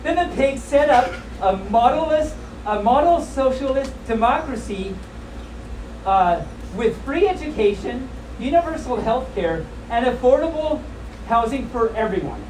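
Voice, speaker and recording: A woman with a fairly high voice hosts a live storytime in a playful, joking way. She moves between reading aloud and chatty asides. She is recorded at a distance in an echoey room with clear background noise. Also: expressive, a little slow. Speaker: live storytime host at a fan convention. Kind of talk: reading a comic children's story aloud to an audience, with casual asides. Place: indoor convention room with audience. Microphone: distant camera filming a live event.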